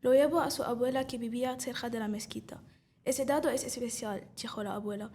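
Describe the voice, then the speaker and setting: A woman's voice: fairly high pitch, steady tone, fast, darkish tone, a little hiss or hum. A woman reading a short story aloud, carefully and with small restarts.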